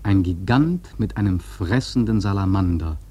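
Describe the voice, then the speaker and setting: Dark, husky literary storyteller. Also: male voice, deep voice, animated, moderate pace. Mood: grave, musing. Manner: rhetorical, solemn. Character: grave, philosophical storyteller of a sea epic. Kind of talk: literary radio drama narration.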